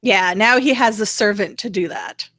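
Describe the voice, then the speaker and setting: A woman's voice: mid-range voice, normal speed, a bit bright, dry recording. A woman co-hosting a casual political gossip chat, talking with dry, sardonic humor. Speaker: show co-host.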